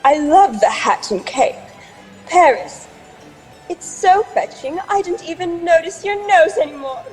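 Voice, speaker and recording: Gushing, bossy society wife played by a woman, high and slow, affected, radio drama with music behind. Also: expressive, a little mellow. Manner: affected, effusive. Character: gushing, bossy, social-climbing married society lady. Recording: long hall reverb, music underneath.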